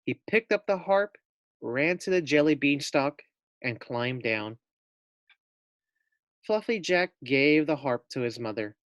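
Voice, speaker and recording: Male teacher, storybook read-aloud: deliberate pace, dry close sound, asks young listeners questions. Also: fairly high pitch, expressive, fairly bright tone, no echo.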